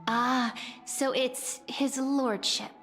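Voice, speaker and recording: An actress playing a curious, shrewd young adventurer in a fantasy video game, speaking in a light, conversational way. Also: female voice, high voice, steady tone, drawn-out words, fairly bright tone, heavily acted. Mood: politely probing. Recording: long reverb, music underneath.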